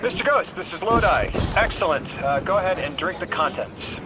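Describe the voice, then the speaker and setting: A male actor playing an earnest, bookish amnesiac translator in a video game, halting and apologetic. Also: high-pitched, animated, very noisy. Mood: flustered, urgent. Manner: halting, apologetic, repeats words when flustered. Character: earnest, flustered, bookish amnesiac man from Earth acting as translator. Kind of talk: naturalistic video game voice acting. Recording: music behind the voice.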